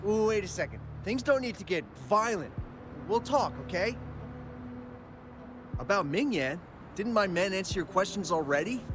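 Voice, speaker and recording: A brash, cowardly gang boss. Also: male voice, high-pitched, lively intonation, background noise. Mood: evasive. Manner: brash, then quickly caves and wheedles. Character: blustering male gang boss, cowardly and sly. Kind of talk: video game voice acting.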